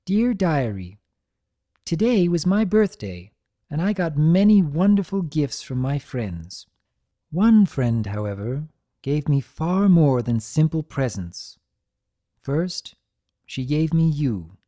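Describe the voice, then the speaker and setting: Performer voicing a mellow, thoughtful young pony girl. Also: medium pitch, sing-song. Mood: grateful. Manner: gentle, measured, sincere. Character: Twilight Sparkle, thoughtful grateful young female pony. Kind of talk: dramatic reading of a diary entry.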